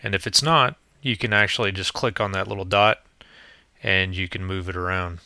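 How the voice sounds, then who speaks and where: A man's voice: low voice, steady tone, normal speed, pushed and bright, no echo. A man presenting an informal demo as a tutorial, explaining things step by step in a plainspoken way.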